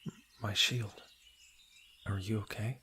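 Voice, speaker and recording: A man acting a playful, flirtatious fantasy lord in an intimate ASMR roleplay, with breathy murmurs and birdsong effects behind him. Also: on the low side, fairly even intonation, slow pace. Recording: clear background noise with birdsong effects. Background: birdsong and outdoor nature sound effects.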